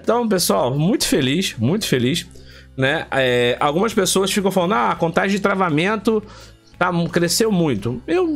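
Guy from rio doing a tech vlog, voice kinda high and stuffy from a cold, repeats himself for emphasis, bit of music behind. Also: slightly rough. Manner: emphatic, repeats phrases for effect, colloquial. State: congested with a heavy cold. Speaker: tech YouTube channel host. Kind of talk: casual tech vlog commentary.

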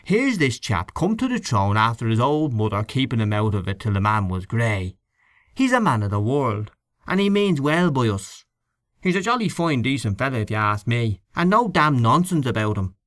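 A man puts on a hearty, blustering political canvasser who talks loud and emphatic, his pitch leaping high on every exclamation. The storybook voice is recorded bone-dry and close. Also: very animated, normal speed, no room sound. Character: blustering middle-aged Irish political canvasser. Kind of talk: audiobook character reading. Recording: dry close studio read.

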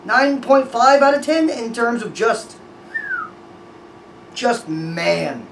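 A young man hosting a music reaction, talking casually with excited, profane, rambling praise. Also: extremely high pitch, slow, vocal fry, distant mic. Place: small room with red backdrop. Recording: echoey room, voice a bit off-mic, faint noise.

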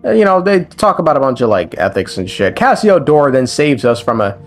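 A man's voice: mid-range voice, sing-song, brisk. A man giving a casual scripted recap of a video game story as a lore commentator, with irreverent, sweary, slangy asides. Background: background music.

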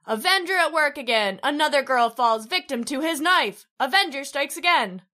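A woman playing a young newsboy loudly calling out headlines in an old-time radio drama. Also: sits high, lively intonation, moderate pace. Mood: shouting. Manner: hawking, calling out loud.

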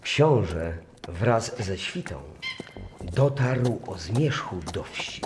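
A man's voice: lowish voice, expressive, extremely slow, background noise. A man narrating a legend as the scripted storyteller of a radio play. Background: music.

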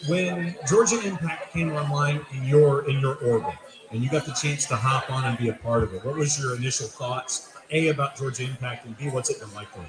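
A man's voice: mid-range voice, fairly quick. Easygoing male podcast host, echoey brewery. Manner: joking, easygoing. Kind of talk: casual interview. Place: brewery event. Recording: echoey room with crowd chatter.

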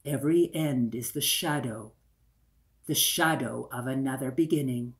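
An older man in his sixties telling a folktale in a dramatic, deliberate way, repeating phrases for effect. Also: medium pitch. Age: older, 60s. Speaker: storyteller. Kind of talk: dramatic oral folktale storytelling. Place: small room indoors by a window.

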